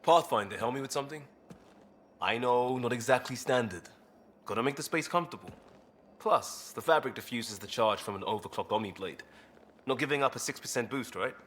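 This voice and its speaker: A man's voice: medium pitch, a little fast, fairly bright tone, slight background noise, natural voice. A man playing an easygoing, earnest crisis responder and former cop in a video game, talking in a casual, blunt, wry way. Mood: upbeat, casual. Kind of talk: video game cutscene dialogue.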